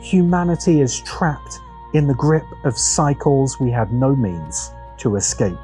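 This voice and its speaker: Mellow-voiced man narrating a video essay. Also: medium pitch, sing-song, a little slow, soft background music. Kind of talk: scripted narration.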